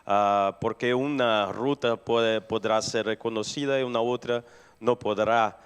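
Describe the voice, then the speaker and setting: A man answering on a conference panel, halting and restarting his words often. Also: lowish voice, steady tone, clean tone. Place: conference hall.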